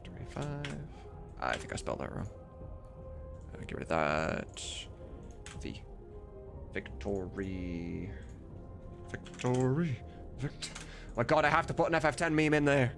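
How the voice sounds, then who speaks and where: Male streamer, lowish creaky voice, lively intonation, casual muttered work chat, informal, music behind. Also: unhurried, long pauses. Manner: informal, sweary, muttering to himself while working. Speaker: streamer and game modder. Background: music, computer keyboard typing.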